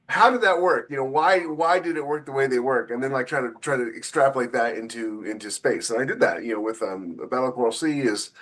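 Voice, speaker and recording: A man's voice: medium pitch, a bit bright. A man talks in an informal podcast style, as an author explaining his own work. He thinks aloud, stopping and restarting as he builds his points, often repeating a phrase before moving on. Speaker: podcast co-host and novelist. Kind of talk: casual talk about own books.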